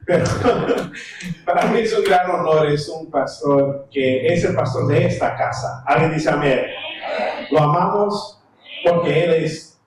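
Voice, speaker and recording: A man's voice: a little high, measured pace. Man's echoey introduction in a big church. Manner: warm, joking introduction that rouses the crowd. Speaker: church leader introducing the guest pastor. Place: church sanctuary. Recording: very echoey distant hall sound with faint noise.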